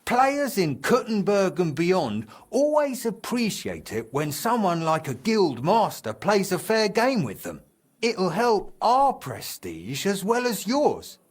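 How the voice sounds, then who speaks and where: A man's voice: high voice, takes it slowly, heavily acted. A man playing a jovial, middle-aged medieval guildmaster in a video game, speaking heartily. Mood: confiding. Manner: hearty, expressive. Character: jovial, dice-loving older medieval guildmaster, hearty and comradely. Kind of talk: video game character dialogue.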